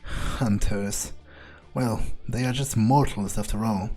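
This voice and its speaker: Mellow, low, offhand male gamer. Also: fairly even intonation, slow pace, faint music behind. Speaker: gamer roleplaying his character. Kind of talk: in-character game playthrough, thinking aloud.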